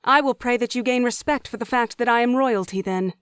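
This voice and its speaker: An actress playing a haughty, impetuous young princess in a fantasy audio drama, with an imperious, sharp-tongued manner. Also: female voice, on the high side, a little fast, clean tone, no room sound, studio quality, lightly acted. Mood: teasing, haughty.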